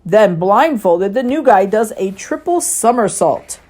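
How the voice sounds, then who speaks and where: A woman reading a children's story and gentle lesson expressively, as a Sunday school teacher does. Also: medium pitch, expressive, unhurried.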